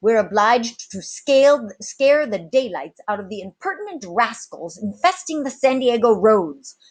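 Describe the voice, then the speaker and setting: A commanding storybook sea captain, acted out by a woman, pitched up and projected. He is formal and brisk, with a wry edge to his orders. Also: sing-song.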